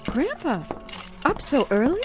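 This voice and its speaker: An actress playing a protective, gently chiding adult granddaughter in a radio drama. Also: female voice, high voice, very animated, unhurried, soft background music. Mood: concerned.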